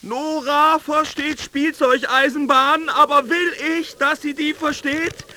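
A man's voice: very high voice, fairly even intonation, a little slow, pushed and bright, no echo, full character voice. An actor playing an earnest, intense young man in love, thinking aloud in short insistent phrases in a radio drama. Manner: short insistent questions and declarations. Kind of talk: radio drama inner monologue. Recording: slightly noisy, background ambience.

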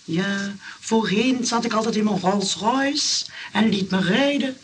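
A woman's voice: medium pitch, measured pace, lightly acted. An actress in a comic radio play playing a wealthy, affected old lady of seventy-eight, forgetful and breezy, grandly giving instructions between little hums. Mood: bossy, self-satisfied. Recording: faintly noisy radio play recording, distant mic.

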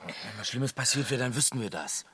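A dismissive, stubborn husband in his forties in a naturalistic radio play. His voice is strikingly tense and sharp, with lively pitch movement. He is curt and irritable. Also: a little fast, breathy edge, no room sound. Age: 40s. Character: irritable, stubborn husband over forty, wanting peace. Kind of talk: naturalistic radio drama acting.

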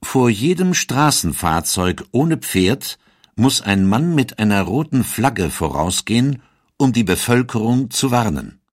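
A man narrating a scripted historical documentary in a storytelling manner with deliberate emphasis. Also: mid-range voice, very animated, no room sound, clean studio sound.

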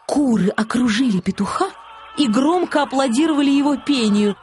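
A woman narrating a children's audiobook in an expressive, scripted storytelling style. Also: high-pitched, moderate pace, faint music behind.

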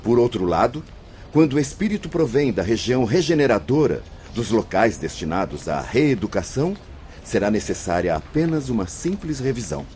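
Man acting a mellow, solemn Brazilian spirit mentor. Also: mid-range voice, sing-song, normal speed, a little hiss or hum, natural voice. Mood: grave, explanatory. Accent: Brazilian Portuguese. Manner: solemn, formal, explanatory. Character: learned, patient male spirit mentor lecturing newcomers. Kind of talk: Brazilian radio drama acting.

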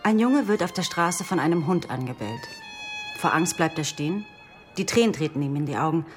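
Thoughtful young student: lowish voice, bookish storytelling delivery, radio drama, soft music underneath. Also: female voice, expressive, a little fast. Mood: reciting calmly. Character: earnest, thoughtful young female psychology student.

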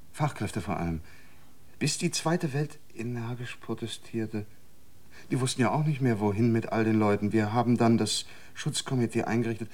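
A man's voice: medium pitch, darkish tone, natural voice. An actor in a radio drama playing a rambling, regretful man who reminisces in a hesitant, conversational way. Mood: rueful, musing. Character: rambling, regretful man recalling his lost world.

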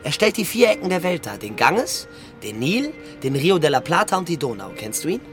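A man's voice: fairly high pitch, sing-song, fairly quick, music in the background. An actor playing an earnest, helpful young man in a German radio drama, casual and eager to explain. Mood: eagerly explaining.

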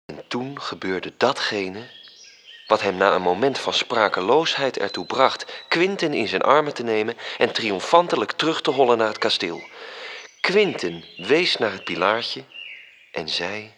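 A man's voice: lowish voice, lively intonation, measured pace, a bit bright, a little hiss or hum. An actor narrating a radio drama as an angel telling a story to his superior. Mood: calm.

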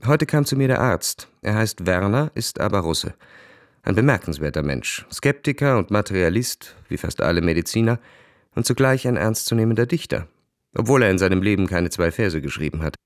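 An actor playing a proud, bored, cynical army officer who tells his story in a cool, measured, ironic way for a radio play. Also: fairly low pitch, animated, no echo, clean studio sound, close to an everyday voice. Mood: observant. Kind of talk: literary radio play narration and dialogue.

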